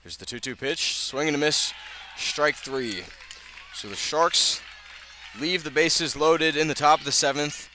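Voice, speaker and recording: A man's voice: a little high, measured pace, bright, forceful tone, some chatter behind, slight background noise. A man doing live radio play-by-play of a baseball game as the announcer. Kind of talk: live radio sports commentary. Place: outdoor ballpark broadcast position.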